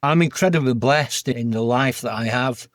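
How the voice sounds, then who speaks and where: A man's voice: medium pitch, moderate pace, no echo, clean studio sound. A man with a Yorkshire accent, a podcast guest speaking earnestly and plainly about his life. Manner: earnest, heartfelt, plain-spoken. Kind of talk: candid personal interview.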